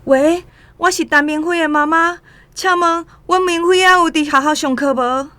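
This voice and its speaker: Anxious wife and mother, Taiwanese accent, extremely high pitch, sharp bright tone, radio drama. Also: steady tone, moderate pace. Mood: worried.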